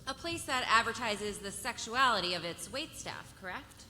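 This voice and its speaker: A woman playing a sharp, relentless opposing lawyer, pointedly cross-examining in a live drama read. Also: fairly high pitch, lively intonation, normal speed. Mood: pressing. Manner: probing, pointed. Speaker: actor. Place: festival stage in a large hall. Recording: hall reverb with faint noise, live stage.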